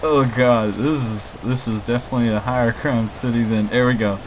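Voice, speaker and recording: A man's voice: medium pitch, fairly even intonation, fairly quick, poor audio. A man giving casual, informal let's play commentary, thinking aloud and talking to his viewers. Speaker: gaming video host and mod maker. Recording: clear game rain and traffic noise. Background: video game rain, vehicles and city ambience.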